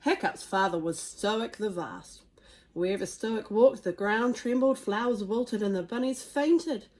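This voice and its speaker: Expressive woman reading a children's story. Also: mid-range voice, unhurried. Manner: expressive, dramatic emphasis. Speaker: storybook reader. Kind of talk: children's story read aloud.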